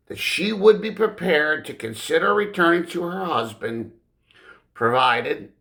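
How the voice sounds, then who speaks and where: A man reading literary fiction as an audiobook narrator, with deliberate, dramatic phrasing and frequent pauses. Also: on the high side, pushed and bright. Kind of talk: expressive scripted literary narration.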